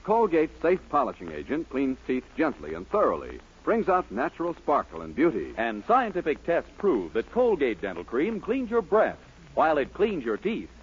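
A man's voice: fairly high pitch, huge pitch swings, normal speed, slightly rough. A man reading a commercial as a 1940s radio announcer in a scripted sales style. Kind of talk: 1940s radio advertising read. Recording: muffled old 1940s radio recording.

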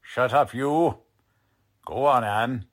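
A man playing a gruff, blunt, streetwise police lieutenant in a 1940s radio crime drama, talking in tough-cop slang. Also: medium pitch, slow pace, lightly acted. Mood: stern, sardonic.

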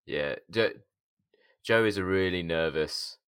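A man with a hoarse, gravelly voice, co-hosting a podcast in casual chat, laughing a lot and stumbling over his words. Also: low-pitched, slow pace, fairly bright tone, dry recording, studio quality. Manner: laughs often, stammering restarts. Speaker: podcast co-host.

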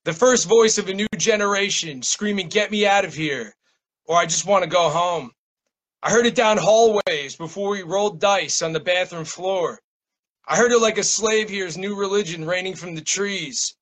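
American male, high voice, laid-back, slangy, casual poet guest. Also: normal speed, a bit bright. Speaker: guest poet and small press publisher. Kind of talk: casual interview chat and poetry reading.

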